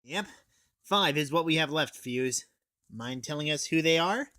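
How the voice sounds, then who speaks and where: A man's voice: on the high side, animated, a little slow, bright, forceful tone, no echo, studio quality. A teenage male reviewer giving an informal, sweary, scripted comic recap. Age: teens. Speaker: anime reviewer.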